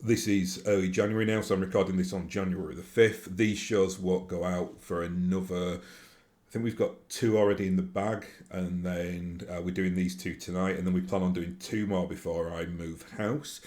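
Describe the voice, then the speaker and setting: Deep-voiced Yorkshire man, rambling chat. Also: fairly even intonation, normal speed, darkish tone. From Yorkshire, England. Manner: rambling, with many fillers and restarts. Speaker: YouTube host. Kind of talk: casual solo monologue.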